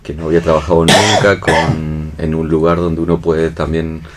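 A man's voice: extremely low pitch, flat delivery, normal speed, soft background music. A man with an Argentine accent, a director explaining his work in casual interview talk, often checking for agreement. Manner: seeks agreement with tag questions. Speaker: theatre and film director being interviewed.

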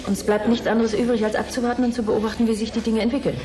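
Woman doing a busy curt news editor in a radio play, talks fast, crowd noise behind. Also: a little high, little pitch movement, natural voice. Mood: grim, resolute. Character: brisk, businesslike female news agency editor. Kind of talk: German radio drama acting.

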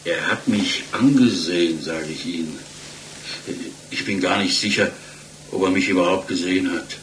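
An emphatic male witness with a lowish voice, telling his account colloquially. It sounds like 1960s radio drama acting, in an echoey room with clear noise on the old mono recording. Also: normal speed, a bit bright. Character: male witness emphatically recounting a shaken man's hasty exit.

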